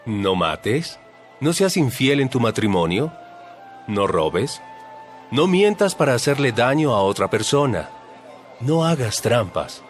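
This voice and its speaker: A calm, authoritative holy teacher in an audio Bible drama. He delivers solemn, measured lessons with widely varied intonation and firm emphasis. Soft music plays behind him. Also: medium pitch. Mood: solemn instruction.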